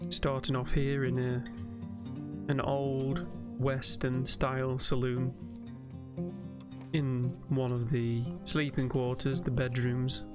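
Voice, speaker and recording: A man giving calm, gentle, measured guided sleep meditation commentary as a narrator. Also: medium pitch.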